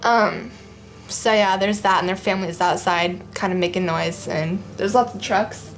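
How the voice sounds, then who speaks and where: A young woman chatting casually in her living room as a vlog host, rambling with lots of ums and a voice that is going hoarse. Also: mid-range voice, moderate pace, soft background music. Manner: rambling, many ums, laughs often. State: losing her voice. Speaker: art vlogger. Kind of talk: casual chat.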